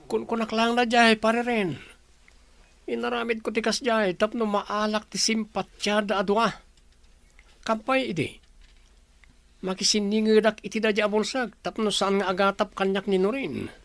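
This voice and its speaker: A man's voice: high voice, flat delivery, normal speed, creaky, fairly bright tone, no echo. A man acting a stubborn, jealous schemer in a serial audio drama. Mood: scheming. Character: scheming, stubborn jealous male rival plotting to ruin another. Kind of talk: Filipino radio-style drama dialogue.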